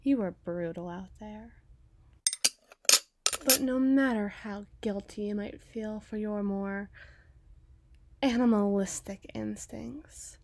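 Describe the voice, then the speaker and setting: A woman's voice: mid-range voice, slow pace, pauses often, a little mellow, a little hiss or hum. A woman playing a menacing, mockingly sweet female mob boss in a dramatic ASMR roleplay, taunting and drawing out her words for emphasis. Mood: smooth, teasing.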